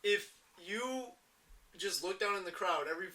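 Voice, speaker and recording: A man's voice: sits high, expressive, normal speed, sharp tone, distant mic, low-quality recording. A young man co-hosting a podcast, joking and teasing in casual chat. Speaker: podcast co-host.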